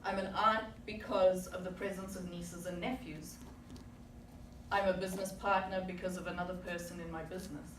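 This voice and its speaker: Forties woman, lower voice, speech at a club meeting, reflective and questioning, caught on a distant mic in a noisy, reverberant room. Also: flat delivery. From South Africa. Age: 40s. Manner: reflective, rhetorical questions with pauses. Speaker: club speaker. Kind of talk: prepared public speech. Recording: echoey room, distant mic, background noise.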